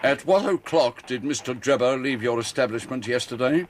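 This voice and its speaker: A man acting as a Victorian police inspector in a radio play. He speaks in a mid-pitched British voice. He is pompous and pleased with himself, blustering along with bumbling boastfulness. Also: normal speed, slight background noise, natural voice. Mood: official, narrating.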